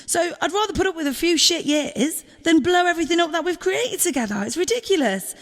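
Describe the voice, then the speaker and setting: Audio drama girlfriend, played by an actress: female, high and very animated, loving yet exasperated, emotional, sweary. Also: fairly quick, long reverb tail. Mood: hurt, pleading. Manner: emotional, pleading, sweary, informal. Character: loving, exasperated girlfriend pleading in a breakup argument. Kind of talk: naturalistic audio drama dialogue.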